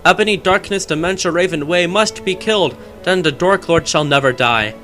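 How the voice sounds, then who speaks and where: Guy doing a pompous evil wizard teacher, raised pitch, cruel and grand, music behind. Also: moderate pace, bright, forceful tone. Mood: cruel menace. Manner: cruel, declamatory. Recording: music behind, amateur game microphone.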